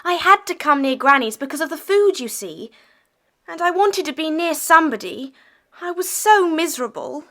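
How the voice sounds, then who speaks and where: A woman's voice: high-pitched, animated, normal speed, sharp tone. A woman playing an earnest, affectionate runaway schoolgirl in an audiobook dramatization. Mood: confessional, wistful.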